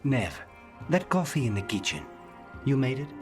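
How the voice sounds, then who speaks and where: A man playing a reserved, fussy but quietly kind fellow in a fantasy game, deadpan and understated. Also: mid-range voice, normal speed, natural voice. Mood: appalled, deadpan. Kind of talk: cinematic fantasy game dialogue. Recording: music behind the voice.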